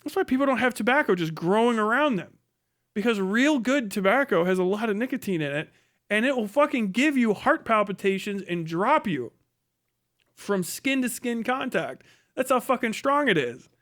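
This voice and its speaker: A man's voice: sits high, animated, moderate pace, a bit bright. A middle-aged man with a blunt, mocking manner, swearing casually through a comedic livestream rant. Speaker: live streamer, host.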